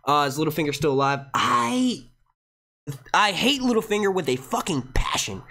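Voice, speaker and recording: A man hosting a casual live Q&A stream, talking excitably and swearing freely into a gated streaming mic. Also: on the high side, moderate pace, creaky. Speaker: livestream host. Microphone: streaming mic with noise gate.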